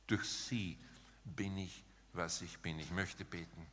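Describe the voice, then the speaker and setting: A man preaching a sermon in an emphatic, rhetorical manner, setting out short phrases with pauses between them. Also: low-pitched, fairly even intonation, fairly bright tone, slight background noise. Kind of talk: sermon with dramatized paraphrase, closing in prayer. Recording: long hall reverb.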